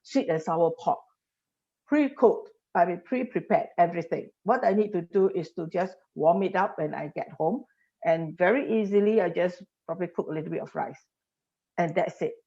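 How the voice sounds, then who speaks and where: A woman in her forties talks through a podcast interview, laying out example after example and restarting her sentences as she goes. Her fairly low voice has a gravelly edge and a mellow, dark tone. The audio is dull and lo-fi. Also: normal speed. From Singapore. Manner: explanatory, stacks examples, frequent restarts. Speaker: industry guest.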